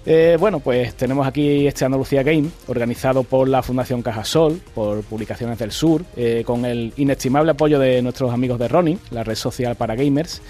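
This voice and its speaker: A man hosting a gaming radio segment in a measured way, with fillers. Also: medium pitch, dark tone, soft background music. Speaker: radio host. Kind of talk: radio announcement and news reading.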